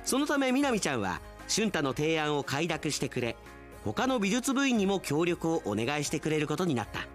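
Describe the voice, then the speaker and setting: A man's voice: fairly high pitch, huge pitch swings, fairly quick, faint music behind. A man narrating a scripted radio drama as an announcer, in an articulate storytelling delivery.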